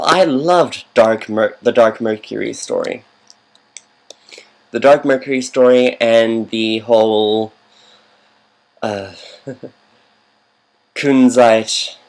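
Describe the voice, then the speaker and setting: A man with a fairly low voice talks casually over a video game. He rambles like a devoted fan, drifting from one aside to the next. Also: a little mellow. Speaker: game commentator.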